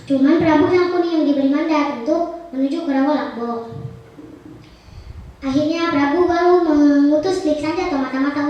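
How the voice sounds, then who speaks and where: A woman's voice: sits high, darkish tone. A young girl telling a folk tale theatrically, declaiming with dramatic emphasis. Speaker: storytelling contestant. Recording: echoey room, distant muffled mic.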